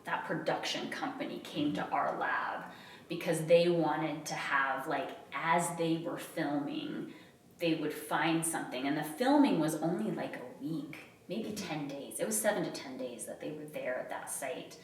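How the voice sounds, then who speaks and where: A woman's voice: low voice, a little slow, slight background noise. A middle-aged woman from Utah, a podcast guest, chatting casually about her work and laughing at herself often. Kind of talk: casual interview chat. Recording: roomy echo, somewhat far from mic.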